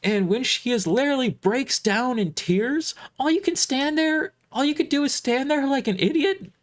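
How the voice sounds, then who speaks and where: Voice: high voice, animated, moderate pace, pitched above the performer's own voice. A performer voicing a sweet but fierce woman who scolds like a schoolteacher in an audio drama. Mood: furious scolding. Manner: schoolteacher-like, emphatic.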